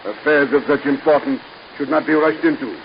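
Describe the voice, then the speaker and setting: Middle-aged male villain doctor, suave and icily polite, gravelly creak, vintage radio drama with faint noise. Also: a little high, fairly even intonation. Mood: veiled menace. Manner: formal, deliberate, icily polite. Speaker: actor. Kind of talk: old-time radio drama acting. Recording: muffled, hissy old radio recording.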